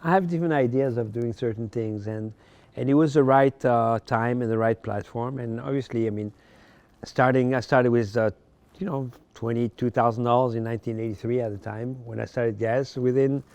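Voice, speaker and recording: Older man, halting storyteller. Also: mid-range voice, steady tone, darkish tone. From Morocco, raised in France. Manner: halting, repeats words as he searches for them. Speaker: podcast guest, fashion designer. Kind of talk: casual interview storytelling.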